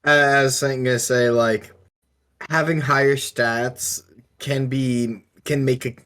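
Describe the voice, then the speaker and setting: A man with a mid-range voice talks slowly in a casual podcast chat. His delivery is measured and halting as he gives his opinion on gaming. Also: medium pitch, fairly even intonation, a bit bright. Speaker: podcast co-host. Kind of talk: casual discussion of tabletop roleplaying.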